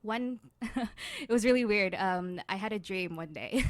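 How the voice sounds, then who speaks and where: A woman's voice: mid-range voice, a little slow, no room sound. A woman talking casually as a guest in an interview. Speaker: guest, radio drama writer and producer.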